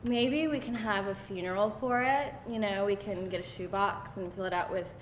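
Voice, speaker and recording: A woman's voice: normal speed, lightly acted. A woman acting a candid, sarcastic young woman at a live screenplay read, in a conversational style. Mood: sarcastic, amused. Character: candid, wry, sarcastic young woman recounting her teens. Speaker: actor at a screenplay table read. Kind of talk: live read of conversational comedy-drama dialogue. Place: live table read in a room. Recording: roomy, distant mic, faint rumbling noise.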